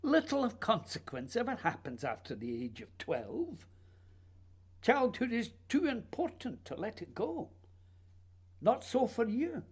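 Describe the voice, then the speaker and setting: A man's voice: very high voice, big pitch swings, a little slow, husky, full character voice. A man playing a whimsical, childlike playwright in a radio play, musing and teasing in a theatrical way. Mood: musing, wistful. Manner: theatrical, musing, playfully urging.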